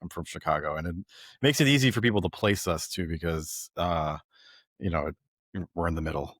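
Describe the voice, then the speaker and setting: Podcast host, American man, low-pitched, casual and halting, recorded dry with no room sound. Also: a bit bright, dry recording. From American Midwest. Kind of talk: casual conversational interview.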